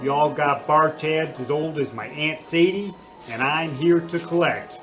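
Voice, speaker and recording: A man's voice: a little high, unhurried, music in the background, lightly acted. An actor playing a tough, gloating male tavern keeper in a radio comedy. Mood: smug. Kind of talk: old-time radio comedy acting.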